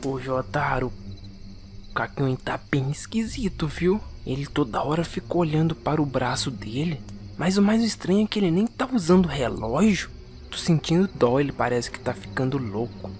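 Man playing an excitable, dim cartoon sidekick. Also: a little high, normal speed, faint music behind, natural voice. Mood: concerned. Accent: Brazilian. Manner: blunt, slangy, exaggerated. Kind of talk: comic anime parody dub.

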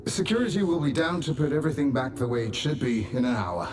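A man's voice: mid-range voice, lively intonation, fast, lightly acted. A man acting a stern, coldly dismissive colony leader, authoritative and condescending, in a video game cutscene. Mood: resolute. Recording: clear ambient noise behind.

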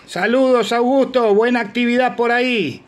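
A man's voice: very high-pitched, fairly even intonation, moderate pace. A man with an Argentine Spanish accent, speaking informally as an amateur radio operator and presenter on a phone recording. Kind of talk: informal equipment demonstration and radio contacts. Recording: clear background noise, handheld phone video.

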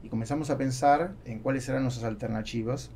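A man in his forties with an Argentine accent, a native Spanish speaker, answering interview questions haltingly and mixing Spanish with Portuguese. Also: medium pitch, a little mellow, a little hiss or hum. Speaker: podcast guest, business owner. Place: small acoustically treated podcast studio. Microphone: studio microphone on boom arm.

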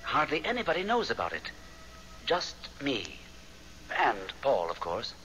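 A genial ghost in a seventies radio mystery. His voice is bright and crisp-edged, swinging expressively as he coyly nudges and persuades. The old broadcast recording carries clear noise. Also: medium pitch, huge pitch swings, measured pace, exaggerated voice, poor audio. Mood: confiding. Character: ghost man, genial, evasive, gently persuasive go-between. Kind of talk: 1970s radio drama acting.

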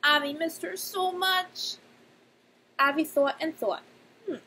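A young woman, a nursery teacher, reading aloud and talking to young children in simple, expressive speech with emphatic pauses. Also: sits high, poor audio. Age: young adult. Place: small indoor room. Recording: clear background noise, webcam-style room recording.

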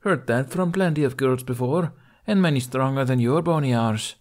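A man voicing a gruff older Viking woman in an audiobook, lecturing in a stern, exasperated way. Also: mid-range voice, moderate pace, a little mellow, voice pushed up, close to an everyday voice. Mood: exasperated, scolding.

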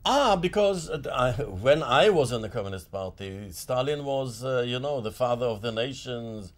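Older male interviewee, mid-pitched, animated storyteller who pauses and restarts, recorded in an office. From born in Germany, grew up in Palestine. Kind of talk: recollecting in an interview. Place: indoor office with shelves.

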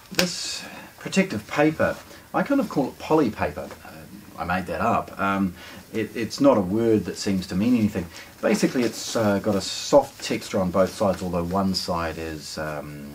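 Low-pitched, animated New man, noisy recording. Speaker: shop product presenter. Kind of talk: casual unboxing talk to camera. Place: indoors, small showroom with shelves.

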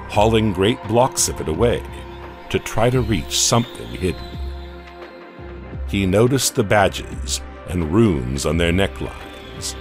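Deep, slow male horror narrator. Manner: dramatic, measured storytelling. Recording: music bed underneath.

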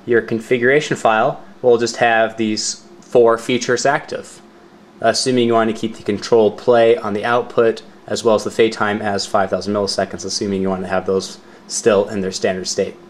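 A man with a fairly low voice walks through a product demonstration step by step. He speaks informally and explains as he goes, restating the key points so they are clear. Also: flat delivery, normal speed. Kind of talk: casual step-by-step tutorial narration.

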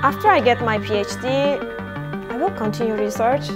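A woman's voice: fairly high pitch, expressive, normal speed. A young woman from Turkey, a PhD student showing viewers around a research lab in a friendly, explanatory way. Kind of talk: guided tour talk. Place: indoor research lab rooms. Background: background music.

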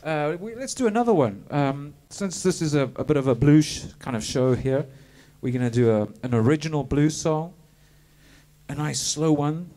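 High-voiced man with a light South African accent. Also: moderate pace. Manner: relaxed, rambling, full of fillers and restarts. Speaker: singer and bandleader. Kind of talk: casual stage banter between songs. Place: small music studio with a live band. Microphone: stand mic. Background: live band playing loudly.

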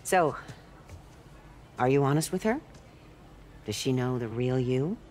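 A kindly older aunt in a video game. Her voice is low and she speaks frankly and gently, with faint noise behind. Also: lively intonation, measured pace. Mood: caring. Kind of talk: naturalistic video game acting.

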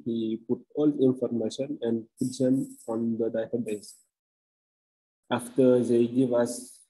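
Halting Senegalese-accented man, dark voice. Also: medium pitch, poor audio. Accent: Senegalese French. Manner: halting, searching for words. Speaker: workshop participant asking a question. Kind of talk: question on a video call. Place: small bright room indoors. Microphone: laptop webcam on a video call.

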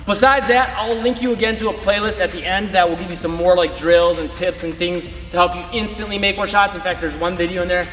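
Male coach, 30s, high voice, casual demo talk, roomy gym sound. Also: fairly even intonation, fairly quick, hardly any pauses, fairly bright tone, soft background music. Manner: casual, talks while demonstrating moves. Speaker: basketball coach. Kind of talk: instructional video. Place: large indoor gym. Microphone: far camera. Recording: echoey gym with long reverb.

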